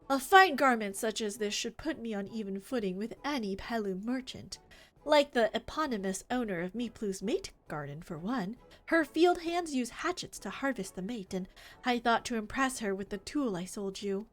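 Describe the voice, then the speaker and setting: A woman's voice: on the high side, close to an everyday voice. A woman voicing a proud, dapper male lizardfolk toolmaker in a fantasy game, with formal, wordy phrasing. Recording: music behind the voice.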